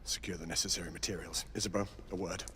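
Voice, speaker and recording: A resolute leader of knights in a period adventure game. His voice is low, formal and clipped, with faint background noise. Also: fairly even intonation, quick pace, bright, forceful tone. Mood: commanding. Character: resolute, dutiful senior knight, brisk leader. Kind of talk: video game cutscene acting.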